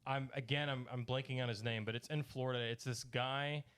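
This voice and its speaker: A man rambling through a story in casual podcast chat, halting as he searches for words. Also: medium pitch, fairly even intonation, fairly bright tone, smooth. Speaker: podcast host.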